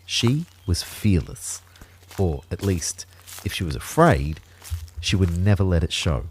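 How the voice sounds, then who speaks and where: A man's voice: low-pitched, big pitch swings, measured pace, slight background noise, rough recording. An actor playing an anxious everyman who narrates a sci-fi audio drama in a wry, understated way. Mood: wry, uneasy. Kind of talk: scripted first-person audio drama narration.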